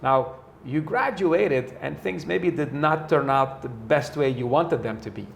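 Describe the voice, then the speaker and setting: A man's voice: fairly high pitch, unhurried, vocal fry, a little hiss or hum. A man hosting an interview, talking conversationally with frequent fillers. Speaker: interview host and sales trainer. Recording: echoey room, faint ambience.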